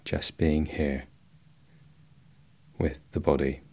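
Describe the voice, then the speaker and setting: Very deep-voiced male meditation guide. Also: flat delivery, darkish tone. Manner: slow, measured, instructional with long pauses. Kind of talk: guided body scan meditation.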